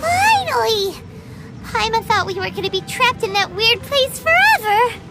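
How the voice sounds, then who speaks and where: A tiny, excitable female fairy from a video game. The voice is extremely high, squeaky and childlike, with lively swoops. Loud music plays behind it. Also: unhurried, exaggerated voice. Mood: flustered, excitable. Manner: speaks of herself in third person, exclaiming.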